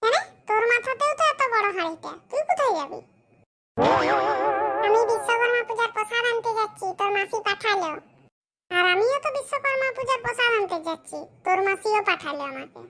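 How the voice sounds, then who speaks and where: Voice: extremely high pitch, animated, a little fast, bright, forceful tone, soft background music, full character voice. A performer voicing a cheeky, grumbling village boy with a rural West Bengal accent in a comedy cartoon. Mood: bantering. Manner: brash, grumbling colloquial banter. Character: cheeky, mischievous poor village boys with squeaky voices.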